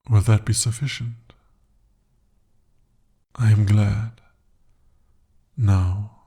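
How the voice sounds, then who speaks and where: A man voicing a devoted, possessive male demon in an ASMR romance roleplay, speaking softly and formally. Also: on the low side, gravelly, slightly rough, full character voice. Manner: soft, intimate, formal speech. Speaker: voice actor.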